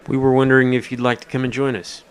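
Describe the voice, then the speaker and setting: A man's voice: mid-range voice, flat delivery, fast talker, clear, resonant tone, lightly acted. A man playing a composed, friendly starship captain in an audio drama. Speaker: voice actor. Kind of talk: audio drama log narration. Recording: music behind the voice.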